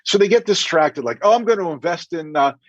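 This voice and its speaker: A man's voice: a little high, animated, brisk, dry recording, highs cut off. A middle-aged man speaking as a podcast guest, giving emphatic, conversational advice and acting out imagined dialogue. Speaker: podcast guest, dentist and practice coach. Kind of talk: animated conversational advice with anecdotes.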